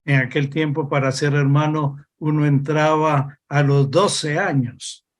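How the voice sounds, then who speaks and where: An older man from Costa Rica, a religious brother being interviewed, recalls his past in an unhurried, thoughtful way. His voice sits somewhat high for a man, and he pauses often to find his words. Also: fairly even intonation. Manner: slow, reflective, hesitant with pauses. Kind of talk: interview over video call.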